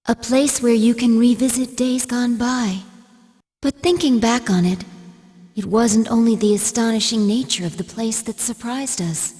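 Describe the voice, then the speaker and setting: A woman voicing a calm, serious and quietly curious android, speaking in a measured way in an English anime game dub. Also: a little high, breathy edge. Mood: calm, reflective. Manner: measured, composed.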